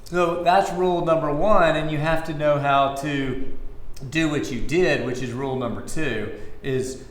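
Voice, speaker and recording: A man hosting a conversational business interview, speaking haltingly and often restarting his phrases. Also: fairly high pitch. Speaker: interview host. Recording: echoey room, faint background noise.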